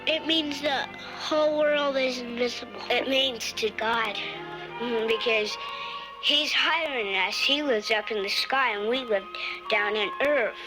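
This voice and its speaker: A young female speaker with a childlike, halting manner, reciting and explaining in a radio spot. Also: medium pitch, over music, poor audio.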